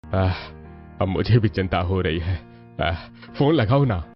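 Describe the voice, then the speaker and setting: Low-voiced family father, played by a man. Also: expressive, measured pace. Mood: worried. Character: practical, easygoing middle-aged father of the family. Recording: dull lo-fi audio with music behind.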